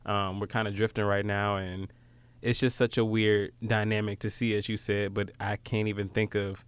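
A man's voice: lowish voice, flat delivery, normal speed, clean tone, no echo. A man in his late twenties with an American accent, talking casually and reflectively in an informal conversation. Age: late 20s. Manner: informal, reflective, acts out quoted remarks.

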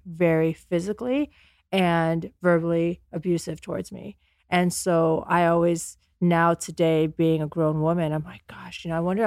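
A woman, calm and earnest, telling personal stories as a podcast guest. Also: fairly low pitch, no room sound, clean studio sound. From United States, born in Afghanistan. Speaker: podcast guest, company founder. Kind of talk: personal storytelling in an interview. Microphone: close podcast microphone.

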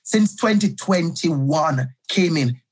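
Male guest educator, middle-aged, husky and emphatic, conversational interview delivery. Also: fairly high pitch, lively intonation, slow pace. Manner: passionate, emphatic, repeats key phrases.

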